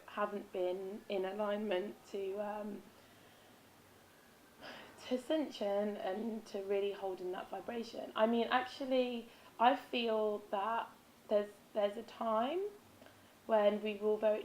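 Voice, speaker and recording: A woman with a raspy, hoarse voice, chatting casually in a vlog about spiritual habits, with frequent ums and restarts. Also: medium pitch, darkish tone, a little hiss or hum, low-quality recording. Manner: rambling, with many ums and self-corrections. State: hoarse, losing her voice. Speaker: vlogger.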